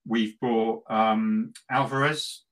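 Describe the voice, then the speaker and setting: A man's voice: lowish voice, fairly even intonation, slow pace, a bit bright. A middle-aged man chatting casually about football as a podcast co-host, gleeful and tongue-in-cheek, repeating himself for emphasis. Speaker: football podcast co-host and West Ham fan.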